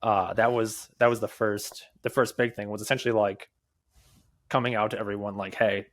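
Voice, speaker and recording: A young man who stutters, answering interview questions candidly as a podcast guest, with halting restarts, repeated words and many fillers. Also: lowish voice, little pitch movement. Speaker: podcast guest, mobile developer and conference speaker.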